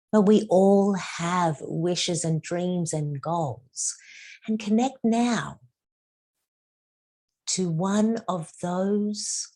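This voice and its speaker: A woman leading a guided meditation as a spiritual teacher, speaking in a soft, soothing way with drawn-out phrases and some hushed, whispered words. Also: low-pitched.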